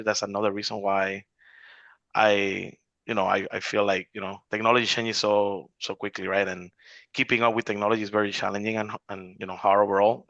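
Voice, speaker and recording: A man's voice: fairly low pitch, bright, forceful tone, no echo, lo-fi sound. A man in his forties chatting on a video call about investing, rambling with many restarts and fillers. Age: 40s. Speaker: real estate investor in an accountability group. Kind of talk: casual video call chat.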